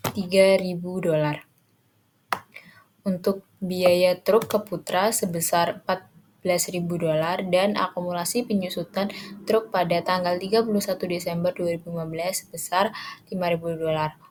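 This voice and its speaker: A young woman gives an explanatory student presentation. Her voice sits a little low, and faint noise runs behind it. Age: young adult. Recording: clear background noise.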